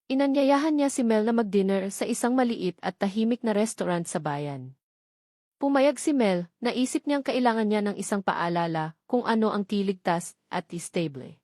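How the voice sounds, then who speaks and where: A woman's voice: medium pitch, darkish tone, no room sound, studio quality. A woman with a Filipino accent narrating a scripted romance story as an audiobook.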